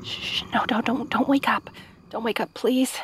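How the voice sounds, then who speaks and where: A woman's voice: on the high side, fairly even intonation, measured pace, whispered, close to an everyday voice. A woman playing a kind, hapless young princess in a fantasy audio roleplay, talking to the listener in a nervous, stammering, chatty way. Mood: frightened, pleading. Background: night sounds with crickets.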